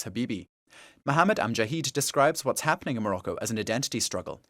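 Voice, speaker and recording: A man narrating a radio documentary feature as the reporter, in a calm, measured scripted delivery. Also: mid-range voice, dry recording, studio quality.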